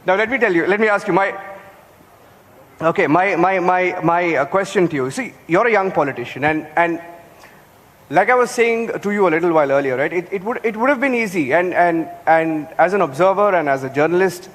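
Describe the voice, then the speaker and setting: A man's voice: high voice, fairly quick, a bit bright. A man from Karnataka with an Indian accent, a journalist interviewing a guest with stammering restarts. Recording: echoey hall.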